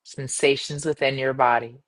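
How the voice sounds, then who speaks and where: A woman leading a guided meditation in a gentle, soothing manner, speaking in measured phrases with long pauses. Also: very deep voice, steady tone, poor audio. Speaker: yoga nidra meditation guide.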